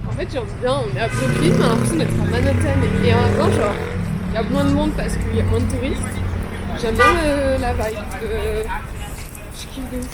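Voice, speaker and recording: Young woman vlogging over loud music. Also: on the high side, brisk. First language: French. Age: young adult. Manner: informal, slangy and enthusiastic. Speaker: travel vlogger. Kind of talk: casual vlog chat. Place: outdoors in city streets.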